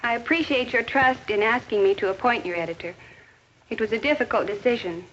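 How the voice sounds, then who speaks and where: An actress playing an earnest, caring high school teacher in a 1950s educational film, measured and formal in delivery. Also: female voice, fairly bright tone, close to an everyday voice. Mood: formal, composed. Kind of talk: 1950s educational film acting with inner-thought narration. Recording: dull, noisy 1950s film soundtrack.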